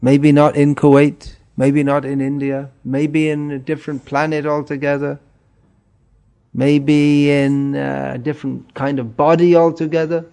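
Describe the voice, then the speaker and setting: A middle-aged man giving an informal spiritual talk in a gentle way, chuckling and putting questions to his listeners. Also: fairly high pitch, level tone, unhurried, vocal fry, smooth. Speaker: spiritual teacher.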